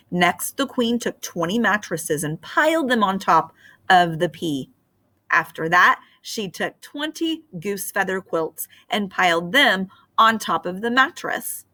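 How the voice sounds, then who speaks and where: Teacher, middle-aged woman; animated storybook reader, bright tone, dry close mic. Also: mid-range voice, dry recording. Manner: expressive and animated. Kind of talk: storybook read-aloud and lesson. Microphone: webcam on a screen share.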